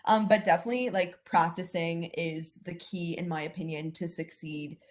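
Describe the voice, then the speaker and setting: A young woman offers earnest advice over a video call in a slightly low voice. Her talk is loose and full of fillers. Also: fairly even intonation, moderate pace, a little mellow. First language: English. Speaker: alumna.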